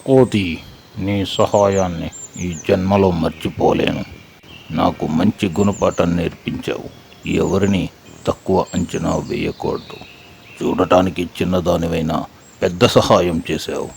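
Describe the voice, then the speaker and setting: Man acting a deep, gravelly lion king. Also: steady tone, unhurried, darkish tone, slight background noise. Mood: grateful. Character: proud lion king of the forest, humbled when trapped. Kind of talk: children's puppet show voice acting.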